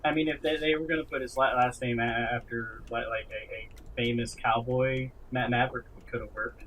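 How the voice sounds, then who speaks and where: A man chatting casually on a podcast, halting and often repeating his words as he goes. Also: mid-range voice, fairly even intonation, fairly bright tone, slight background noise, low-quality recording. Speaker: podcast co-host. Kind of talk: casual gaming news chat.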